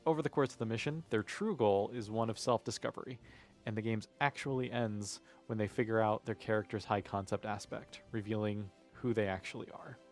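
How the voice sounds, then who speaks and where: A man's voice: lowish voice, steady tone, a little fast, soft background music. A man game mastering a tabletop role-playing game, explaining and narrating in a casual, informal way.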